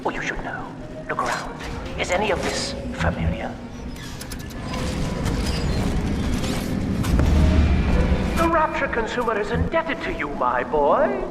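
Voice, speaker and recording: A man's voice: high voice, huge pitch swings, moderate pace. An actor playing a smug corporate showman in a video game, with showy, mocking, condescending announcer patter. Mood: mocking, sly. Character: smug male corporate showman addressing a test subject. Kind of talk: theatrical stage-announcer patter. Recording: echoey hall, distant, loud music behind.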